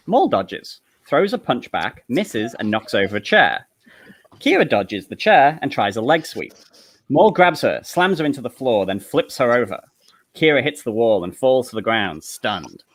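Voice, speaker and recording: A man reading stage directions as narrator in a live comedic table read, with an amused manner. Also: medium pitch.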